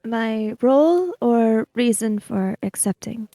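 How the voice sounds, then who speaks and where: Woman playing a slow, reserved samurai emissary. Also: on the high side, animated, warm tone, dry recording, studio quality. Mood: reserved, measured. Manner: formal, deliberate, precise. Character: reserved, formal samurai emissary, measured and guarded. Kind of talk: in-character tabletop roleplay.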